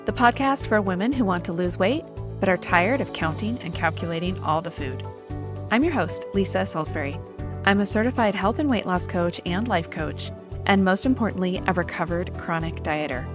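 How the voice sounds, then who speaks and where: A woman hosting a podcast as a health and weight loss coach, her voice slightly croaky from a chest cold, chatty and enthusiastic. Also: on the low side. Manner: chatty, enthusiastic, with many fillers. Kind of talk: podcast interview.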